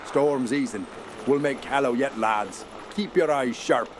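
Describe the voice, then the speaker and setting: An actor playing a bold, commanding ship's captain in a radio drama, hearty and used to giving orders. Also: male voice, mid-range voice, unhurried, noisy background, natural voice. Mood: wry, steady. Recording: loud storm and ship effects.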